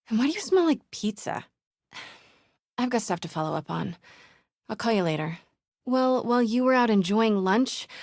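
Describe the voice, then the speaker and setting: A woman voice actress playing a determined young investigative reporter in a video game, with an American accent and a breathy, hushed manner. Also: fairly low pitch, no echo, clean studio sound. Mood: annoyed. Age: young adult. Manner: hushed, self-talking, breathy.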